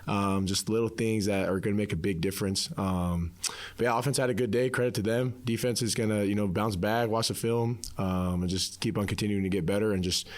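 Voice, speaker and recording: A man's voice: lowish voice, steady tone, a little fast. A young man, a college football player, answering casually in a post-practice interview, repeating himself with many "you knows". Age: young adult.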